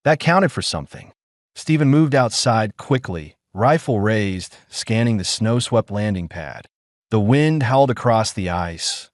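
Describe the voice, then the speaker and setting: A man's voice: on the low side, no echo, studio quality. A man narrating a science fiction audiobook in dramatic storytelling style. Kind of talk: scripted narration.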